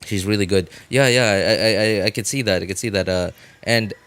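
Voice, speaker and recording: Male game streamer, lower-pitched, casual and informal, thinks out loud to chat over music. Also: fairly quick, clean tone, no room sound.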